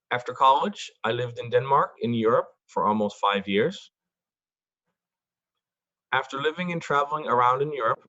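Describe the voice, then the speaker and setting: Middle-aged man from Boston, slow and clear. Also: mid-range voice, a bit bright, dry recording. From Boston, United States. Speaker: foundation board member presenting. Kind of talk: prepared forum remarks. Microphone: video call. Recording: dull, dry video-call audio.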